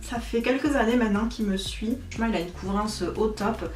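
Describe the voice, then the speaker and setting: A woman's voice: a little high, a little fast. A young woman giving a casual makeup tutorial, chatty and self-mocking, with frequent fillers. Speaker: beauty vlogger. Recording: echoey room, voice a bit far from mic, faint music.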